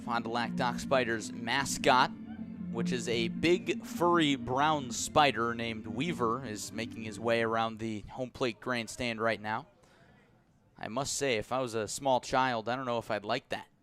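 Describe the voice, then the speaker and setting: A man calling live baseball play-by-play for the radio in a measured, descriptive way. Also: medium pitch, fairly even intonation, over music. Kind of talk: live radio sports commentary.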